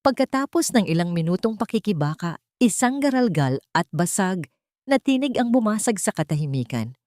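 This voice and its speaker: A woman's voice: mid-range voice, big pitch swings, normal speed, a little mellow, dry recording. A woman reading a scripted first-person story in a dramatic storytelling style. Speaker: story narrator.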